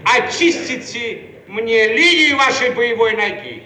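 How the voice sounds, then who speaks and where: An actor playing a servile, gushing male painter who flatters obsequiously in a theatrical satirical radio comedy. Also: extremely high pitch, slow, full character voice. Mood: fawning, rapturous. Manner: obsequious, effusive, exclamatory. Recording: echoey, noisy archival radio recording.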